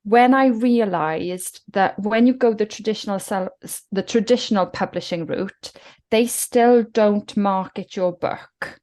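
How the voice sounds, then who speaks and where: A woman's voice: medium pitch, measured pace, a little mellow. A woman from South Wales with a Welsh accent, a guest talking reflectively in a podcast interview. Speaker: guest author.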